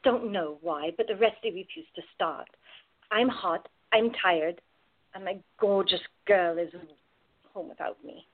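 A woman's voice: medium pitch, measured pace, natural voice. Radio play role: wry, protective woman, played by an actress, blunt and teasing, narrow phone-like sound. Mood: weary. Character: wry, protective woman, devoted to her girlfriend.

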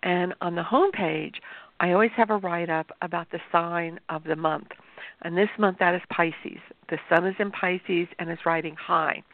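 A woman with a sore throat talks straight to her viewers in an easy, explanatory way, her voice gravelly and full of fry. The sound is tinny and narrow, like a phone line, and completely dry. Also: on the low side, steady tone, moderate pace, no room sound. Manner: chatty, explanatory, addresses viewers directly. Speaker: astrologer hosting a show. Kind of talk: informal talk-show monologue.

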